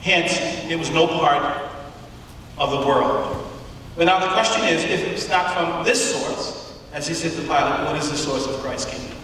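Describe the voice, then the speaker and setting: A man delivering a sermon, speaking emphatically and deliberately with rhetorical questions and pauses in a large auditorium. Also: on the high side, bright, forceful tone. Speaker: preacher giving a public address. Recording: echoey hall from far off, faint noise.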